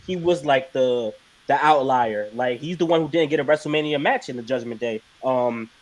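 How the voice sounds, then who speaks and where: A man's voice: medium pitch, normal speed, low-quality recording. A man co-hosting a casual wrestling chat stream, blunt and profane. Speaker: podcast co-host.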